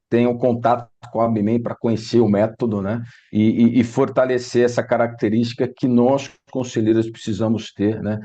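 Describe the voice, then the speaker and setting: Chill guy hosting a panel over a muffled video call, soft mellow tone. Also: mid-range voice. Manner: relaxed, hesitant, many "né" tags. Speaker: moderator. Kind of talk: moderating a live panel.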